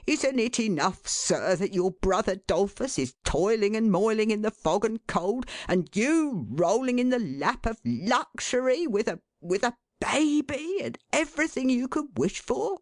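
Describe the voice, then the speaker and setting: A reader voicing a flustered, harried father of a large poor family who scolds in exasperation, then softens. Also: mid-range voice, sing-song, a little slow, few pauses, no room sound, studio quality, voice pushed up. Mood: scolding. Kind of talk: audiobook character voice.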